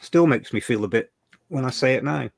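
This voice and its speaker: A man's voice: medium pitch, animated, fairly quick, creaky, no room sound, clean studio sound. An older man from England, co-hosting a livestream, chatting about old memories with mild swearing. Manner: chatty, emotional, swears mildly. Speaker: livestream co-host. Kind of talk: casual reminiscing chat.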